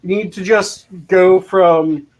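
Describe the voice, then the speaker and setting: A man's voice: high-pitched, steady tone, slow pace, a little mellow. A man in casual, joking chat as one of a panel. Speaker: panel member. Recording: faint background noise.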